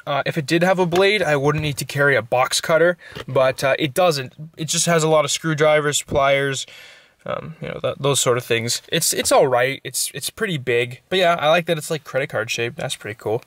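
A man's voice: a little high, normal speed. A teenage young man from Canada giving a casual, rambling show-and-tell vlog from inside his parked pickup truck, filmed close on a handheld camera. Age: teens. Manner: relaxed, rambling, with fillers and asides. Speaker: vlogger.

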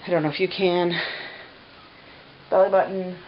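A woman's voice: fairly low pitch, fairly even intonation, normal speed. A woman in her thirties, stuffy, scratchy-voiced and short of breath, chatting to camera in a rambling way with many fillers, filmed in a bedroom. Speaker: pregnancy vlogger. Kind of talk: casual chat to camera. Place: bedroom, indoors. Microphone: camera on a tripod at medium distance. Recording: slightly dull home video with faint hiss.

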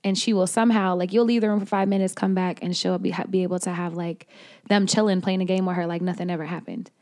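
A woman's voice: lowish voice, flat delivery, fast, a little mellow. Candid young woman from Oklahoma. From Oklahoma, USA. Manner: candid, slangy and playful. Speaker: podcast host, guest this episode. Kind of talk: casual interview chat. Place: small studio, on a couch. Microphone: studio mic on a stand, headphones.

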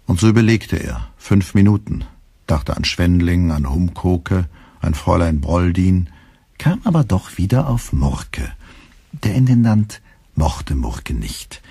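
A man's voice: low voice, gravelly, mellow, husky, no room sound. A man narrating a literary radio play in a dry, ironic storytelling manner.

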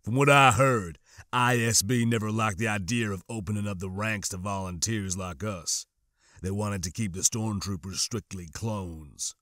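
A man voicing a serious, wary stormtrooper who speaks slowly and firmly in an audiobook. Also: lowish voice, a bit bright, no echo, clean studio sound, lightly acted. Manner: slow, firm, serious. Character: grave, wary male stormtrooper, guarded and cautioning.

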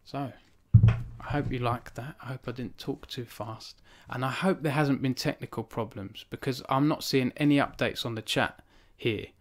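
A man in his thirties speaks close to a studio microphone in a small room at home. His voice sits at a middle pitch. He reads steadily, then chats earnestly and a little self-consciously with his viewers, restarting his words often. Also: normal speed, few pauses. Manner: earnest and self-conscious, with frequent restarts and fillers when chatting. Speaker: author hosting a book launch stream. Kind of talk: reading aloud from his novel, then chatting with live viewers. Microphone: studio microphone on a stand in front of him.

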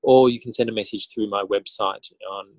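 Low, husky man. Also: fairly even intonation, a little fast, no room sound, poor audio. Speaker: interview guest, author and educator. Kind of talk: casual interview answers.

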